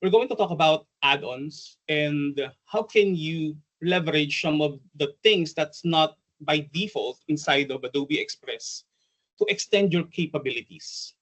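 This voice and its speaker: A man's voice: a little high, a little slow, fairly bright tone, poor audio. A man with a Filipino accent, probably in his forties, giving an online workshop in a chatty, hesitant way, full of ums and uhs. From Philippines. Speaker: trainer and presenter. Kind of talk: online conference workshop presentation.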